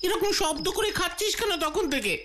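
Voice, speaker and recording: Bossy cartoon kid, very high voice, swoopy and naggy. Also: female voice, brisk, background noise. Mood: annoyed, scolding. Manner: nagging, expressive. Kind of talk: exaggerated cartoon dubbing. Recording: loud music behind the voice.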